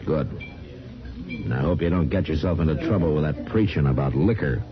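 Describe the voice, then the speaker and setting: An actor playing a stern, plain-spoken frontier marshal in an old-time radio western, terse and authoritative. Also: male voice, extremely low pitch, a little fast, a crowd in the background. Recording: muffled vintage radio recording, music behind.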